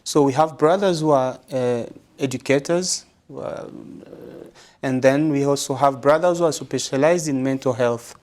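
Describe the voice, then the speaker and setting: Measured man, frequent pauses. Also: mid-range voice, darkish tone. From Congo. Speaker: guest, religious brother and psychologist. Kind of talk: interview answers.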